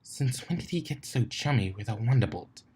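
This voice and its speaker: Fussy female unicorn for a storybook read male reader, disapproving, confidential mutter, medium male pitch. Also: expressive, moderate pace, a little mellow, slightly rough. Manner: muttering, whispered asides.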